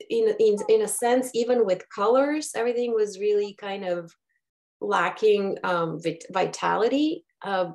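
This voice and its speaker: Woman in her 50s from bulgaria, on a video call, thinks out loud, lots of restarts, mid pitch. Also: gravelly. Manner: thoughtful, halting with many restarts. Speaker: guest, brand strategist. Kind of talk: conversational interview.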